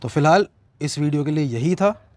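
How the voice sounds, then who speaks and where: A young man with an Indian accent speaks in a moderately high voice. He explains things in an informal vlog review style, posing questions and answering them. English phrases are mixed into his talk, and he is recorded outdoors. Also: expressive, moderate pace, darkish tone, slight background noise. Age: young adult. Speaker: motovlogger reviewing gear. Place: outdoor garden, seated at a table.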